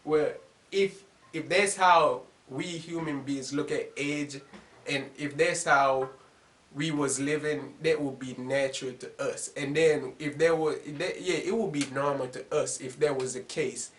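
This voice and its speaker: A man's voice: on the high side, unhurried, fairly bright tone. A man of twenty-one chatting casually in a rambling, slangy, profane and self-deprecating monologue, laughing often. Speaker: YouTuber making reaction videos.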